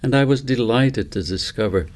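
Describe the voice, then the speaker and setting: A man's voice: mid-range voice, moderate pace, close to an everyday voice. A man voicing a thoughtful older brother in a storybook, reflecting on his dream travels in a pensive way. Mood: thoughtful, delighted. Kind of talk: storybook character reading.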